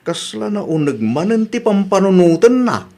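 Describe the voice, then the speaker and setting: A man's voice: sits high, big pitch swings, moderate pace, dark tone. A man with an Ilocano Filipino accent playing a casual, concerned grown son in a radio drama.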